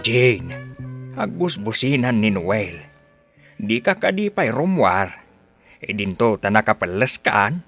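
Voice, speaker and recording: A man's voice: fairly low pitch, big pitch swings, slow, long pauses, over music, full character voice. An actor playing a middle-aged Ilocano father in a radio drama, prodding his grown daughter. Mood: prodding, puzzled. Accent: Ilocano, northern Philippines.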